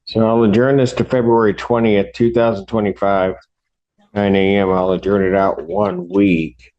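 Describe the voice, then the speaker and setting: A man speaking as a judge, handing down rulings from the bench in a deliberate, halting official way. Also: on the low side, little pitch movement, darkish tone. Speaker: judge presiding over a court hearing. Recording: dull lo-fi courtroom audio.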